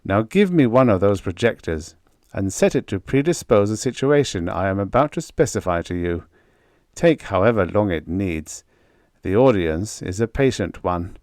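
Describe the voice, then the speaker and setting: A man's voice: fairly low pitch, animated, normal speed, a little mellow, no room sound, studio quality, lightly acted. Man reading as a defiant, grandly polite fugitive. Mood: commanding, resolved. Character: doomed, defiant, laughing rich fugitive man.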